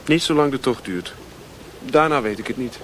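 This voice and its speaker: A man's voice: mid-range voice, normal speed, fairly bright tone, slight background noise, close to an everyday voice. Man playing a proud, impatient Western adventurer. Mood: impatient, resolute. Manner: formal, curt, defiant. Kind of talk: radio play acting.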